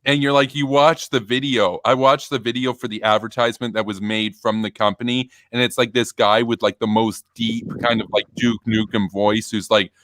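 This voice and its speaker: A man hosting a casual stream, talking in a rambling, animated way with many fillers and restarts. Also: mid-range voice, moderate pace, hardly any pauses, no echo. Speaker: stream host. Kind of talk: casual chat.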